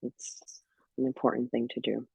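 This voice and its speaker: A woman's voice: rumbling low, little pitch movement, normal speed, creaky, darkish tone, husky, no room sound. A woman who works as an acupuncturist, speaking as a podcast guest and explaining things earnestly, often restarting her phrases. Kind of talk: explanatory conversational chat. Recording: dull lo-fi dry sound.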